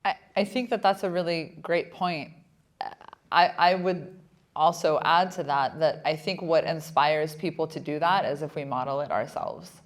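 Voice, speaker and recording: A woman's voice: low voice, normal speed. A woman co-facilitating a group discussion in an encouraging, conversational way.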